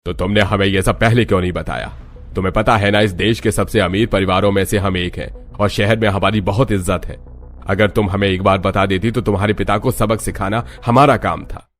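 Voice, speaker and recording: A man's voice: on the low side, fairly even intonation, a little fast, lightly acted. A man voicing a stern, proud, wealthy father-in-law in a story, with an authoritative, scolding manner. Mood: reproachful.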